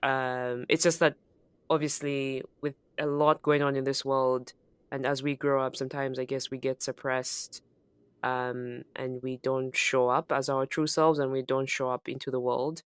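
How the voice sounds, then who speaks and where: A woman's voice: extremely low pitch, flat delivery, measured pace, smooth, no room sound. A woman co-hosting a podcast in casual conversation, speaking in an affirming, reflective way. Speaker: podcast co-host.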